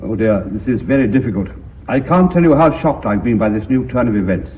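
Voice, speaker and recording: An actor playing a flustered, anxious company director in a radio drama, with an English accent and a fussy, formal manner. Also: male voice, mid-range voice, lively intonation, fairly quick, a little hiss or hum, lightly acted. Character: flustered, anxious gentleman director, frankly self-serving. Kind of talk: BBC radio drama acting. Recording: narrow phone-like old radio recording.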